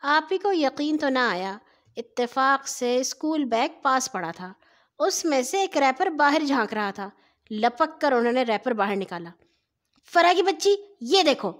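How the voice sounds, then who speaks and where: A woman storyteller narrating scripted stories for children in a deliberate, phrase-by-phrase way. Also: high voice, lively intonation, a bit bright.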